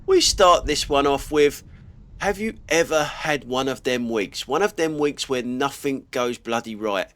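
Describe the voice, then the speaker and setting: A man's voice: mid-range voice, expressive, unhurried, fairly bright tone. Middle-aged British male vlogger, bunged up with a cold, blunt grumbling driving commentary from the driver's seat. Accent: British English. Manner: blunt and grumbling, swears casually. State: stuffy nose from a cold. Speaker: dash cam vlogger. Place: inside his car while driving.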